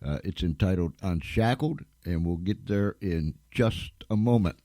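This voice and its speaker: Slow, low-voiced man hosting radio. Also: dark tone, no room sound, clean studio sound. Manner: folksy, colloquial, repeats words for emphasis. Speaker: radio show host. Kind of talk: folksy radio show hosting.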